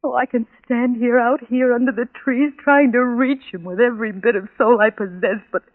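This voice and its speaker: A woman's voice: a little high, steady tone, normal speed, natural voice. An actress playing a lovesick, obsessive young woman in an old-time radio drama, pleading with impassioned, bitter anguish. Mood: bitter, obsessed. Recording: tinny vintage radio recording with music.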